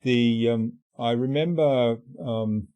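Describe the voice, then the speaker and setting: A man from Australia hosting an interview, speaking thoughtfully and hesitantly with many pauses and ums. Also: medium pitch, mellow, dry recording. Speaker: podcast host. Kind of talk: conversational interview.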